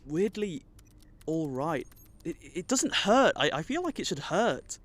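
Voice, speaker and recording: A man playing a wounded, guilt-ridden young man in an audio drama, halting and self-deprecating. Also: fairly high pitch, sing-song, a bit bright, exaggerated voice. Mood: subdued.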